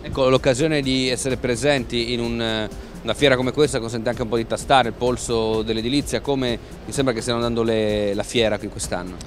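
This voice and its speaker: A man's voice: medium pitch, steady tone, brisk, soft background music. A man interviewing a company president at a trade fair, asking conversational, leading questions.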